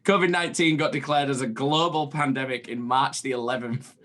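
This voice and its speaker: A man co-hosting a podcast, rambling and swearing casually in relaxed chat. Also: medium pitch, brisk. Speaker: podcast co-host.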